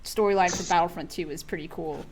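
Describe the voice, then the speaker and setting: A woman co-hosting a casual podcast, speaking bluntly and swearing freely, with quick interjections. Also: on the low side, fairly even intonation, crowd noise, rough recording. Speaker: podcast co-host. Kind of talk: casual chat.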